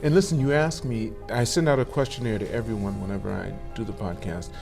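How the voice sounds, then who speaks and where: A man's voice: lowish voice, fast talker, gravelly, music in the background. A man hosting a podcast, asking chatty, rambling questions in a casual interview. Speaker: podcast host.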